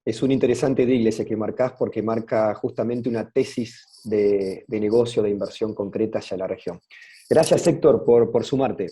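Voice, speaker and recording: A man's voice: lowish voice, normal speed, dark tone, husky. A man with a Rioplatense Spanish accent moderating an online business webinar over a video call, inviting guests to speak.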